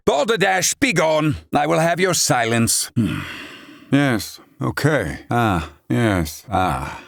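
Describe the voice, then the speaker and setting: A man's voice: fairly low pitch, dry recording, studio quality. An actor playing a proud, grumpy, brainy older man in a video game, with pompous, blustering, wordy old-fashioned diction. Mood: flustered, indignant. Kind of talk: dramatic English-dub game acting.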